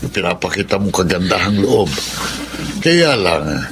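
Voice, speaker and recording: An actor playing a kindly, moralizing middle-aged confidant in a Filipino drama, gently admonishing, with a Filipino accent. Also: male voice, deep voice, very animated, measured pace, gravelly, background noise, heavily acted. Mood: concerned, admonishing. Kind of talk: melodramatic radio drama acting.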